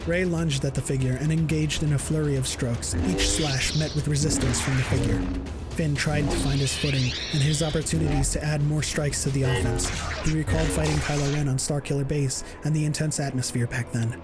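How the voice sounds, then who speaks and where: A man's voice: fairly high pitch, fairly even intonation, a little fast, over loud music. A man delivering dramatic scripted narration as the narrator of an audio drama. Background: dramatic score.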